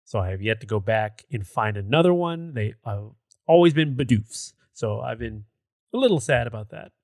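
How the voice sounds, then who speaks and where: A man's voice: mid-range voice, expressive, moderate pace, a little mellow, dry recording. A man with a mid-range voice talks as co-host of a gaming podcast. His delivery is casual and conversational, wandering a little as he goes. The sound is dry, with hardly any room in it.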